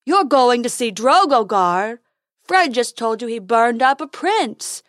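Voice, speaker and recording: A silly storybook unicorn, voiced by a woman, male, stubborn and simple. He talks in a slow, dopey, gossipy way, close to the mic with little room sound. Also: a little high, animated, no room sound. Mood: alarmed gossip. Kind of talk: storybook character voice.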